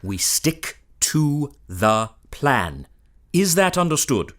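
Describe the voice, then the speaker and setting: Pompous, scheming period lawyer. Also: male voice, a little high, very animated, slow pace, fairly bright tone, full character voice. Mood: exasperated, threatening. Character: pompous, controlling male lawyer plotting crime. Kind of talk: period melodrama audio drama acting.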